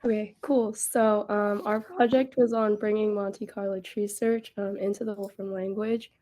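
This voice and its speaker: A woman's voice: mid-range voice, little pitch movement, normal speed, mellow. A young woman, a university student, introducing her project casually with the odd filler. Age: young adult. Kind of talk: presenting a project.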